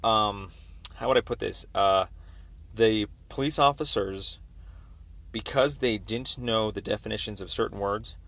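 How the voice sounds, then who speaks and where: Male caller, lowish pitch, wordy and halting, laying out a complaint over the phone. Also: vocal fry, a bit bright, dry recording. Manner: halting, wordy, roundabout explanations. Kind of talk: phone conversation, explaining a complaint. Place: telephone call. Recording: recorded phone call.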